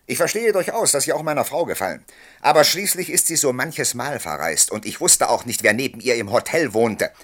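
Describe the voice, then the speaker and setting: A sardonic, self-assured husband in a radio play. His mid-range voice is forceful and swings freely, and every phrase is articulate and condescending. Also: medium pitch, sing-song, fairly quick. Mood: amused condescension. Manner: ironic, articulate, haughty. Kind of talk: German radio play acting.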